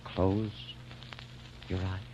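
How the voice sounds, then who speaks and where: A man's voice: sits low, level tone, extremely slow, background noise. An actor playing a solemn, tender male lover resigned to his fate, grave and intimate, in 1940s radio drama style. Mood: grave, loving. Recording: dull old radio recording, soft music behind.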